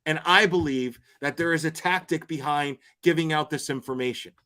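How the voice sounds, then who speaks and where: A man with a slightly high-pitched voice hosts an unscripted livestream commentary. He speaks bluntly and argumentatively, with coarse wording. He leans on repeated phrases to drive his points home. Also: normal speed, sharp tone. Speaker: livestream host commenting on crime cases.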